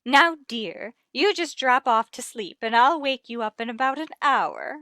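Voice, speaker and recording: An actress playing a middle-aged, breezily bossy wife and gushing hostess in a vintage radio comedy. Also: female voice, on the high side, expressive, a little slow, pushed and bright, no room sound, studio quality, full character voice. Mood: soothing. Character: chirpy, managing middle-aged wife of the principal.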